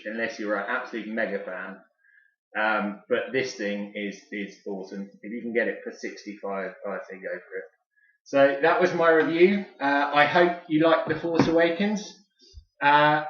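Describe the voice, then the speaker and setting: A man's voice: mid-range voice, fairly bright tone. A British man giving a casual, unscripted product review as host, chatty and informal like an enthusiastic fan. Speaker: toy reviewer and video host. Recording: echoey room, slightly dull sound.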